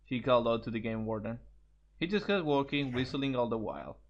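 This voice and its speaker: A man's voice: medium pitch, expressive, brisk, a little hiss or hum. Stumbling man reading aloud, dull lo-fi sound. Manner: stumbling reader, repeats phrases. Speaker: co-host reading fan fiction aloud. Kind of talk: informal story read-aloud.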